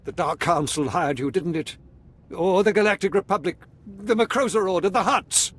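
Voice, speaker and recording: A man's voice: sits high, moderate pace, a bit bright, slightly rough. An actor playing a frightened, paranoid old man in a video game, theatrical and panicked in manner. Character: frightened, paranoid old man, former advisor to a dark lord.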